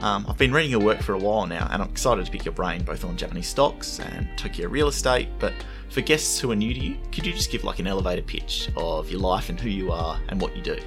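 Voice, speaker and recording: Male podcast host, slightly low, gravelly voice, casual friendly delivery. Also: quick pace, hardly any pauses, music in the background. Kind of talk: podcast interview.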